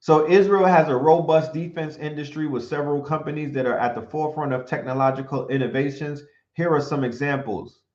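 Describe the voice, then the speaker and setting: A man speaking in an animated, emphatic commentary, often throwing in rhetorical questions and sometimes reading from notes. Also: on the high side, a little fast, hardly any pauses. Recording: roomy, dull lo-fi sound.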